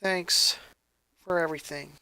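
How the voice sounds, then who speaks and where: A man voicing a sensitive, shy young boy in a story reading, halting and uncertain. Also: fairly high pitch, steady tone, gravelly, pushed and bright, clean tone, no echo, heavily acted. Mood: grateful.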